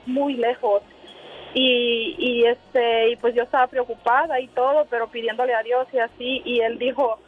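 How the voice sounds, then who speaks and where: A woman caller telling a personal story hesitantly, full of fillers and quoted dialogue, over a phone call from a car. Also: high voice. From Honduras. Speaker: radio call-in listener. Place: in a car while driving. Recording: tinny noisy phone call.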